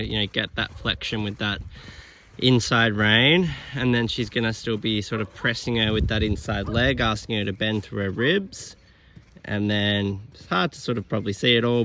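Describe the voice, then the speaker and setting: A man's voice: on the low side, slight background noise. A man filming and casually commenting on a horse training session, with lots of fillers. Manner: relaxed, filler-heavy explaining.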